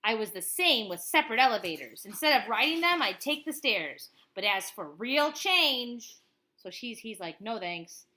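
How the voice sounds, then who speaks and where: A woman reading a picture book aloud to children expressively, pausing to explain things simply to young listeners. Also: on the high side, sing-song, pushed and bright.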